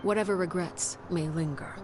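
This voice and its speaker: An actress plays a commanding female leader from a video game. Her voice is low and composed, with formal, measured phrasing and restrained sorrow, over clear music. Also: fairly even intonation, close to an everyday voice. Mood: restrained regret. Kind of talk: video game character acting.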